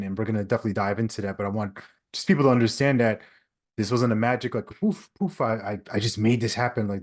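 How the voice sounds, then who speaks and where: A man in his thirties from Long Island, a podcast guest telling a reflective personal story with frequent restarts. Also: on the low side. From Long Island, New York. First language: English. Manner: earnest, reflective, frequent restarts and "right?" tags. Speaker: podcast guest, leadership speaker. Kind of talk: conversational storytelling.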